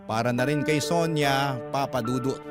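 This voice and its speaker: A man's voice: mid-range voice, moderate pace, over music, natural voice. A male actor narrating in the first person as a grieving, remorseful young man telling his story in a radio drama. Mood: sorrowful, resolved. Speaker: story narrator reading a letter sender's account.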